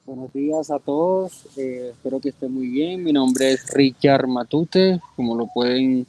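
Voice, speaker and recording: A man's voice: mid-range voice, a little slow, mellow. A man from Cartagena with a Colombian Caribbean coast accent, a school psychologist introducing himself politely with a few hesitant fillers. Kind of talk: radio-style talk introduction.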